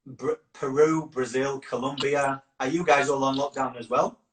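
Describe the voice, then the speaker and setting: A man with a northern English accent softened by years in Australia, hosting a casual, chatty live conversation. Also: medium pitch, steady tone, normal speed. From Yorkshire, northern England. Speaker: campaign founder hosting a live chat. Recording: echoey room with clear background noise.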